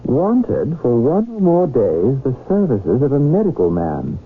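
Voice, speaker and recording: A man acting an earnest young struggling doctor and husband in an old-time radio drama, expressive and well-spoken, on a noisy recording. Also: medium pitch, unhurried, phone-quality sound, faint music behind. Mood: intrigued, candid.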